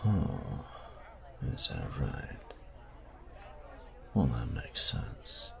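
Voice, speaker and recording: A man playing a cocky, taunting, domineering young bully in an intimate audio roleplay, speaking in a breathy, gravelly half-whisper with slow, teasing menace. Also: a bass voice, wildly swooping pitch, a faint crowd, full character voice. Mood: smug teasing. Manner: slow, teasing, menacing delivery with drawn-out pauses.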